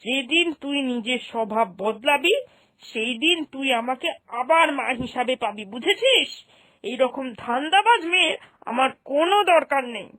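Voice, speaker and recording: A woman playing an exasperated, sharp-tongued older mother and mother-in-law in a cartoon moral story, scolding with reproachful questions. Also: high voice, lively intonation, moderate pace, rough recording. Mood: angry, hurt.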